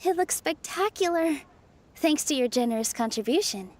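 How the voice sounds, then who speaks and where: High-voiced, earnest young dancer. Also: female voice, moderate pace, a little hiss or hum. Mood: cheerful. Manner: bright-spirited, sincere, expressive. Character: sweet, earnest, enthusiastic young female dancer. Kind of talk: video game voice acting.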